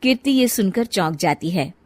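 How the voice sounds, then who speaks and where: A woman's voice: mid-range voice, animated, moderate pace, a little mellow, no echo, clean studio sound. A woman reading scripted narration for a moral story as its narrator.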